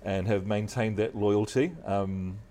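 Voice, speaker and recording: A middle-aged man with a deep voice and a New Zealand accent, chatting as a guest in a relaxed, modest, measured way. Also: slight background noise. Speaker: guest, news presenter. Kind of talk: relaxed interview chat.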